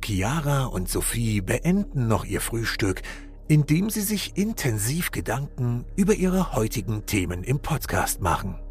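A man's voice: medium pitch, big pitch swings, unhurried. A man narrating a scripted story with wry, literary phrasing. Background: music.

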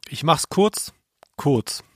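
A man's voice: very slow, no room sound. A man with a German accent co-hosting a podcast, giving dry, brief replies in casual banter. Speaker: podcast co-host.

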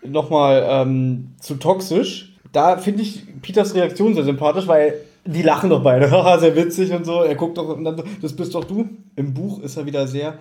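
A man with a high voice banters as a podcast co-host. He picks at plot holes with incredulous, mocking questions and jumps into acting out what characters say. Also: normal speed, hardly any pauses, dark tone. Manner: animatedly questioning, mocking, acts out quotes. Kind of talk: casual podcast banter, critiquing a story.

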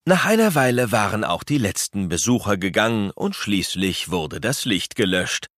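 A man's voice: lowish voice, sing-song, normal speed, a bit bright, dry recording, clean studio sound. A man narrating a children's audio drama as a scripted storyteller.

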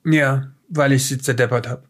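A man playing an evasive, rambling researcher under questioning in an improvised radio play, speaking haltingly and backtracking. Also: medium pitch, steady tone, a bit bright, natural voice. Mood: sheepish. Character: evasive, rambling male researcher being questioned after strange events. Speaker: player in an audio-drama let's play of a solo horror adventure.

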